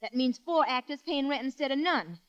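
An actress playing a young, sharp-tongued, weary boarding-house keeper, tart and bossy, in a 1940s radio comedy. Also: female voice, high-pitched, expressive, brisk. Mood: scheming. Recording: muffled old radio broadcast.

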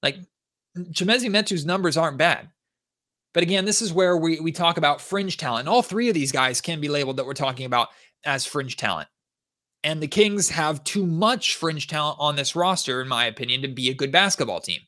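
Bright-voiced man hosting a sports podcast. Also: fairly high pitch. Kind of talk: conversational basketball commentary and analysis.

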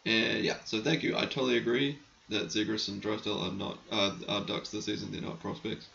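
Hoarse, gravelly man losing his voice. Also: on the low side, little pitch movement, a little fast, sharp tone, highs cut off, slight background noise. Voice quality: gravelly, croaky. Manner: rambling, chatty, frank, sometimes crude. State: losing his voice, clearing throat. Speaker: hockey podcast host. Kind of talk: casual solo podcast, answering listener questions.

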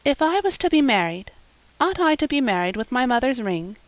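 A woman voicing a gentle, eager young girl, light and earnest. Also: on the high side, very animated, normal speed, no room sound, rough recording.

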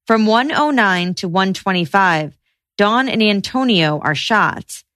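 A woman podcast host narrating trial news in a measured, reportorial way. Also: mid-range voice, lively intonation, fairly bright tone, no echo, studio quality.

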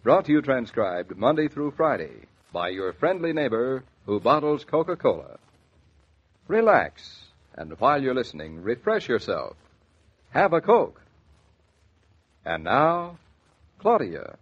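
A man's voice: medium pitch, big pitch swings, a little slow, pauses often, breathy edge. A man reading a scripted sponsor introduction as a 1940s radio announcer. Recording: dull, scratchy 1940s radio transcription recording.

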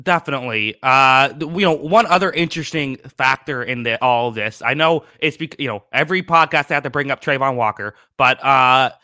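A man's voice: mid-range voice, moderate pace, few pauses, bright, forceful tone, no room sound, clean studio sound. A man co-hosting a sports podcast, analysing football conversationally with a hesitant, circling delivery.

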